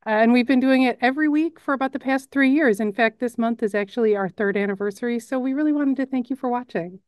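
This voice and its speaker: A woman's voice: a little high, fast, a little mellow, clear, resonant tone, no room sound, clean studio sound. A middle-aged woman from the Chicago suburbs with a Chicago accent, chatting on camera about her work as a news editor and narrator in a friendly, matter-of-fact way. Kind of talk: casual on-camera chat.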